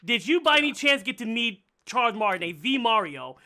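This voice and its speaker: A man hosting a casual interview, talking like an excited, gushing fan. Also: very high-pitched, fairly quick. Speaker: podcast host.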